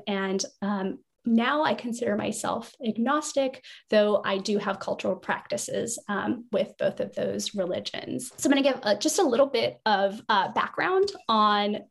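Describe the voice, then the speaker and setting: Podcast host, female, slight vocal fry, explanatory delivery. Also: medium pitch, a little slow. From United States. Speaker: podcast host, occupational therapist. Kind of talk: podcast panel discussion.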